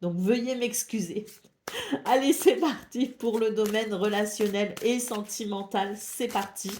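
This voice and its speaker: A woman's voice: mid-range voice, measured pace, darkish tone, a little hiss or hum. A woman with a French accent and a head cold, giving a weekly horoscope from tarot cards in a hesitant way, with many fillers. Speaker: tarot reader. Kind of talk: weekly horoscope card reading.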